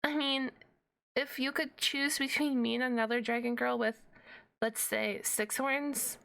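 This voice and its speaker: A woman's voice: on the high side, vocal fry, a bit bright, full character voice. A woman voicing a shy, nerdy, flustered half-dragon librarian in an ASMR roleplay, hesitant and rambling with awkward humour. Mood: flustered, bashful.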